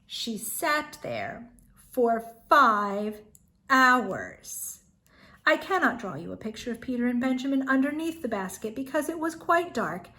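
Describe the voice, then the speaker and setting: A woman storyteller reading a children's tale aloud expressively, with dramatic pauses and emphasis. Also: a little high, pushed and bright.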